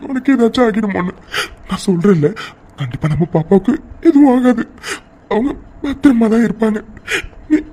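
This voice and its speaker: Woman playing high-pitched, tearful, pleading young husband. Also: mellow. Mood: anxious, reassuring. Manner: pleading, halting, repeats words, tearful. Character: anxious young husband tenderly reassuring his distraught wife. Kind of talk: emotional audio drama acting. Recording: faint background noise.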